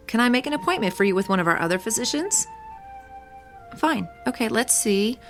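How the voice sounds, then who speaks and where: An actress plays a polite clinic receptionist in a radio play, brisk and courteous. Her voice sits mid-pitch over faint music. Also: natural voice. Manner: brisk phone politeness, hushed gossip.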